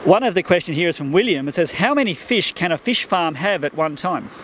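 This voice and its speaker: A man's voice: a little high, lively intonation, brisk, fairly bright tone, slight background noise. A man interviewing a farmer outdoors, cheerfully reading questions from a sheet into a handheld mic. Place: outdoors beside farm ponds.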